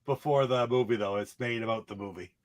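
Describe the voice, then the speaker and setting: A man chats informally as a podcast panelist. His voice is of average pitch for a man. It is recorded dry, with hardly any echo. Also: brisk. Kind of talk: casual group chat.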